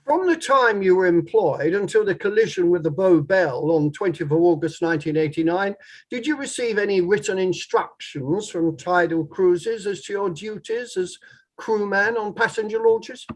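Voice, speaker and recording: A methodical inquiry lawyer played by a man in a play reading with a notably high-pitched voice for a male. He questions formally and precisely, probing point by point. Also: normal speed. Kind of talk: table read of an inquiry play.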